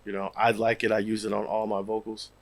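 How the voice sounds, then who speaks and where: A man in his thirties with a slightly raspy, worn voice, casually explaining software in a home studio over a headset mic, with lots of fillers. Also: lowish voice, fast talker, background noise. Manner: relaxed, rambling, many fillers. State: losing his voice, a bit raspy. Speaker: music producer. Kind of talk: casual screen-share tutorial.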